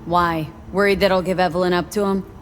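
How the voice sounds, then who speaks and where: An actress playing a tough, guarded young mercenary in a video game, speaking in a curt, wry, streetwise way. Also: female voice, on the low side, steady tone, fairly quick, a little hiss or hum, close to an everyday voice. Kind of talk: naturalistic video game acting.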